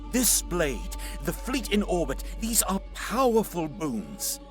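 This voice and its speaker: A man's voice: on the low side, full character voice. A man playing a bold, devout and loving alien warrior in an audio drama, declaiming in a grand, earnest way.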